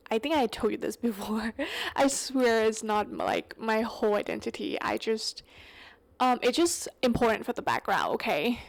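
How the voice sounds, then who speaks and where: A young woman from Thailand with a Thai accent, English not her first language, rambling casually through a solo podcast with many fillers and self-corrections. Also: fairly high pitch. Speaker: podcast host.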